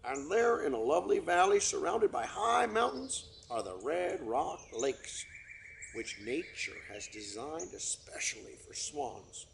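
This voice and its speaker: A man's voice: fairly high pitch, lively intonation, a little slow, gravelly, a little hiss or hum, exaggerated voice. A man voicing a pompous, long-winded father trumpeter swan who makes grand speeches to his children.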